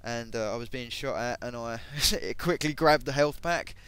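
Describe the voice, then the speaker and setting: A man giving casual, hesitant commentary on game equipment, his voice a bit croaky, with many ums and self-corrections. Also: medium pitch, normal speed, fairly bright tone, dry recording. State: voice going croaky. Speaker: gaming video creator.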